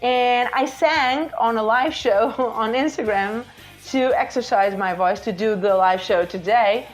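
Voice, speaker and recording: A woman's voice: fairly high pitch, a little slow, faint music behind, rough recording. A Portuguese woman in her forties hosting a podcast, chatting casually with hesitant fillers. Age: 40s. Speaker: podcast host. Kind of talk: casual chat.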